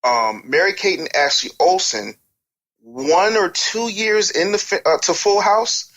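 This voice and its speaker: Blunt man with a slightly high voice. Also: a little slow, fairly bright tone, lo-fi sound. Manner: blunt, swearing, emphatic. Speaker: interview guest, music business mentor. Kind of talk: blunt advice with anecdotes.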